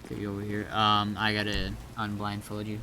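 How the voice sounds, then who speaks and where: A man's voice: lowish voice, completely flat delivery, fairly quick, noisy background. A man casually guiding newcomers through an online game, explaining step by step and somewhat hesitantly. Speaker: experienced game server player guiding newcomers.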